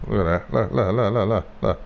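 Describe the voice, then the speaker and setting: Gravelly, grumbling man streaming a game. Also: lowish voice, a little fast, highs cut off, a little hiss or hum. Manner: grumbling, sweary, repeats himself. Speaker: video game live streamer. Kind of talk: casual live gameplay commentary.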